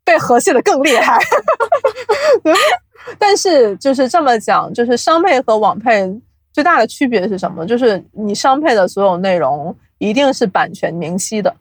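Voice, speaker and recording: A young adult woman chatting casually as an interview guest, explaining things from experience with animated storytelling and self-deprecating humour. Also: fairly high pitch, moderate pace, slightly rough, no room sound. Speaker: podcast guest, audio drama producer.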